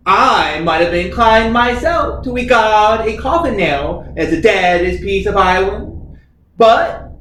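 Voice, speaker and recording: A man's voice: extremely high pitch, fairly bright tone, exaggerated voice. A man playing a droning old priest. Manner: droning, sing-song intoning. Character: solemn old priest at a funeral. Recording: roomy, distant, faint hiss.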